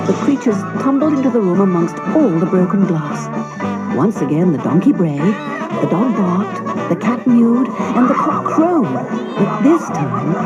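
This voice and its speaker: A woman narrates a fairy tale with lively, expressive intonation. She sounds like a storyteller reading aloud, with loud music behind her. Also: fairly low pitch, highs cut off.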